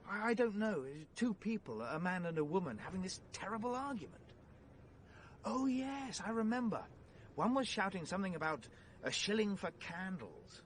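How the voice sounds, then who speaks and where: An actor playing an anxious, well-bred British gentleman on the run in a vintage radio drama, plummy, earnest and flustered. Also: fairly high pitch, natural voice. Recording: noisy vintage radio play recording.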